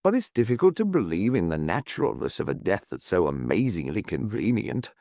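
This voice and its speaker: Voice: sits low, very animated, brisk, mellow, dry recording, clean studio sound. A narrator acting a grim, shrewd male sleuth. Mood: dry, thoughtful. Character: restrained, shrewd, grimly impatient amateur sleuth man.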